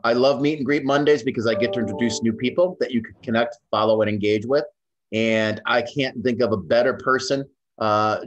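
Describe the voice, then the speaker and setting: A middle-aged man hosting an interview over a video call from a room full of bookshelves, talking in a friendly, slow way with many fillers. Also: mid-range voice. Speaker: host. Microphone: webcam on video call. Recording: dull lo-fi video call audio.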